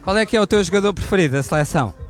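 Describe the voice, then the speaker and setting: A man reporting live from an outdoor fan gathering and chatting with the crowd on a handheld mic, lively and chatty. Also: high voice, rapid-fire, faint music behind. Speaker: field reporter.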